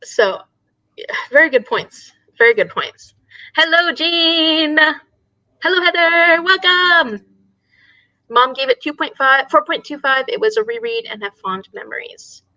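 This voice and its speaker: Bright-voiced woman hosting a casual chat. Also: high-pitched, unhurried, no room sound, highs cut off. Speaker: book discussion host. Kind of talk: casual book discussion.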